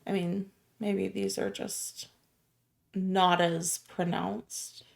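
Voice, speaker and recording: A woman's voice: mid-range voice, little pitch movement, extremely slow, creaky. A woman, co-hosting a reaction video and puzzling over words. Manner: questioning, often laughing. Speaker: reaction channel co-host. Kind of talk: casual reaction chat.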